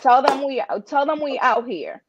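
Emphatic high-voiced woman on a video call. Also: normal speed, no echo. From Dominican-American. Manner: emphatic, passionate, rhetorical questions. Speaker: interview guest, writers' association founder. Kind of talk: animated conversational interview answers. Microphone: computer video-call microphone. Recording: dull, lo-fi video-call audio.

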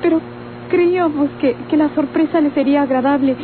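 A woman acts a polite, sensitive fiancée in a Mexican radio drama. Her high voice is formal and apologetic. The old recording is thin, with clear noise. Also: brisk, phone-quality sound, lightly acted. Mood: hurt. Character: polite, wounded young fiancée. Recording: noisy, band-limited old radio recording.

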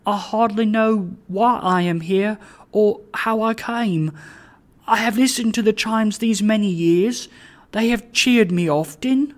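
A storybook reader puts on a humble old man with a raised, higher voice. He is timid and deferential, faltering as he earnestly pleads his case. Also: slow pace, close to an everyday voice. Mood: timid supplication.

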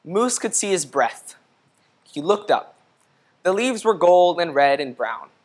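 A man's voice: high voice, very animated, slow pace. A young man reading a children's story aloud, instructing and putting questions to young listeners. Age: young adult. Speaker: storybook reader. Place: indoor studio room. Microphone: lapel mic.

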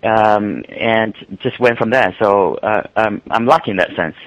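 A man's voice: fairly low pitch, measured pace, fairly bright tone, dry recording, poor audio. A man from Malaysia, a guest trader and author, answering interview questions casually with many ums and restarts.

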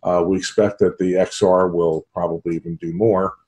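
Low-voiced American man presenting products. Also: flat delivery, moderate pace, warm tone. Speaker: sales executive, interview guest. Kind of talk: product pitch in an interview. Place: small home office, indoors. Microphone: computer video call.

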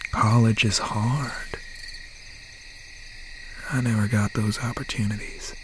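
Man acting a slow, menacing slasher boyfriend. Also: lowish voice, noisy background. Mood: bitter, menacing. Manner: slow, breathy, half-whispered menace with pauses. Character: obsessed, bitter young slasher boyfriend, menacing serial killer. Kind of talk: ASMR roleplay, dramatic monologue. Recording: steady nighttime ambience over the voice. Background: crickets, insects and owl night sounds.

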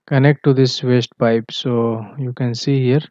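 A man tutoring on plumbing drawings, walking through a screen share in a halting way with many fillers and self-corrections. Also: medium pitch, little pitch movement, a little mellow, no echo. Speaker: MEP engineering tutor. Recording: dull, dry, lo-fi voice-over.

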